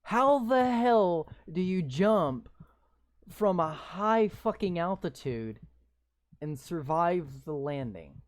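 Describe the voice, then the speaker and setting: A man's voice: high voice, sing-song, slow, no echo, studio quality. A man playing a visual novel, reading its text aloud and breaking in with sarcastic, swearing comments. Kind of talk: reading game text aloud with live reactions.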